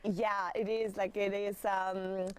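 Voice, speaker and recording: A woman's voice: medium pitch, flat delivery, takes it slowly, slight background noise. A young woman from Austria, talking in a casual interview and speaking hesitantly with many fillers and restarts. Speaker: studio guest, circus performer.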